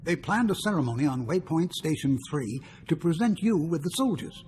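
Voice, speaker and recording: Anxious, highish-pitched statesman. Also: big pitch swings, moderate pace, a little mellow. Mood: concerned. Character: anxious, well-meaning male statesman. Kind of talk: video game cutscene acting. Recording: long reverb, faint noise.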